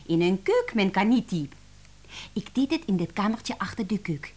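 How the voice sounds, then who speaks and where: An actress playing an excitable, principled young French cook with a French accent, dramatic and indignant, in a radio drama. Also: female voice, medium pitch, brisk, slightly rough. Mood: indignant, animated. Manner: dramatic, indignant, sprinkles speech with French exclamations.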